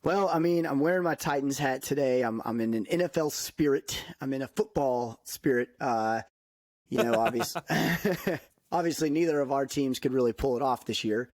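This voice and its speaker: A man's voice: mid-range voice, normal speed, darkish tone, no room sound. A man in his thirties chatting casually as a podcast co-host, upbeat and full of fillers. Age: 30s.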